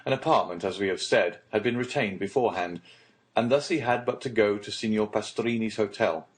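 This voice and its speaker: A man reading literary narration as an audiobook narrator, in a formal and measured storytelling manner. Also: fairly low pitch.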